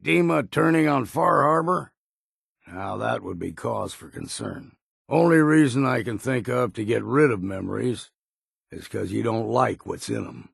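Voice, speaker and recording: An actor playing a gruff old hard-drinking hunter and guide in a video game, with a raspy voice and folksy, laconic talk. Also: male voice, medium pitch, expressive, a little slow, no echo, clean studio sound, heavily acted. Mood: wry, grim. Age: older. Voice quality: gravelly, raspy. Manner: folksy, dropped endings, laconic. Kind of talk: video game character acting.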